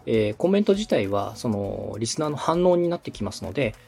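A man's voice: mid-range voice, big pitch swings, brisk, vocal fry, darkish tone, slightly rough. A man from Osaka giving polite, methodical advice as a podcast host and radio director. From Osaka, Japan. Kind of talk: explanatory consulting talk.

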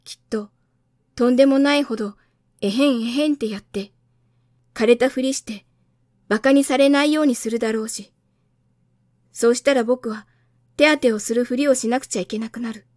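Naive little storybook prince played by a woman, high childlike boy voice, wistful, dry sound. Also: moderate pace, long pauses, darkish tone, dry recording, close to an everyday voice. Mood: worried.

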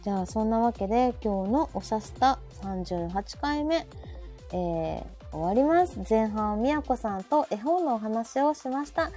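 A woman's voice: a little high, animated, measured pace, warm tone. A woman with a Kansai Japanese accent hosting a live audio show in casual chat, often chiming in with agreement. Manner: frequent backchannel agreement. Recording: faint background music.

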